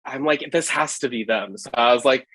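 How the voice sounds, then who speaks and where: A man's voice: medium pitch, fairly quick, a bit bright, breathy edge, no room sound, low-quality recording. A man from Hawaii, a street photographer, chatting as a podcast guest, rambling with lots of fillers. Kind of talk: casual podcast chat.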